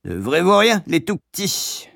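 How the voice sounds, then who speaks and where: A storybook shopkeeper woman acted by a male reader, coarse and full of spite. The voice is very high and squawking, swinging wildly as it rants and accuses. The sound is close and dry. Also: very animated, normal speed, gravelly, a bit bright, dry recording, exaggerated voice. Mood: grumbling contempt. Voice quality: shrill, squawking. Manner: vulgar, ranting, indignant. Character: spiteful, shrill old female sweetshop keeper, coarse and accusing.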